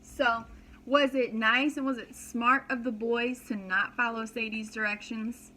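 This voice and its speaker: A woman's voice: on the high side, fairly even intonation, a little slow, pushed and bright. A woman reading a children's story aloud as a preschool teacher, dramatically and expressively, with simple explanations for young listeners.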